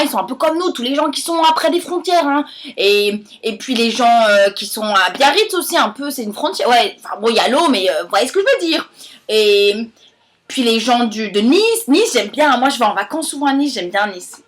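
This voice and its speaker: A woman playing a brash Alsatian beauty queen. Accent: Alsatian French. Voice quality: thick regional accent. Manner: rambling, over-the-top, crude, swings between loud and low. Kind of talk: comic monologue sketch.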